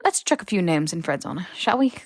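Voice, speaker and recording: A woman's voice: on the low side, big pitch swings, fast talker, no room sound. A woman acts out a young British man in a fantasy audiobook, hesitant and a little defensive. He means well, and the recording is dry. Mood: teasing. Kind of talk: audiobook character dialogue.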